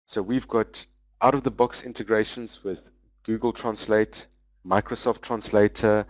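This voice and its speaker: Male presenter, low register, dry close mic, loose explanatory delivery over slides. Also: dry recording, low-quality recording. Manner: explanatory, walking through product features; loose, with frequent "um" pauses. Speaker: business development manager presenting a webinar.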